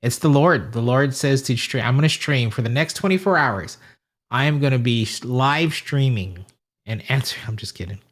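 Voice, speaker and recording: A man's voice: medium pitch, lively intonation, normal speed, fairly bright tone. A man hosting a casual live stream, greeting viewers and rambling aloud while he fiddles with settings. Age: adult with a wife and family.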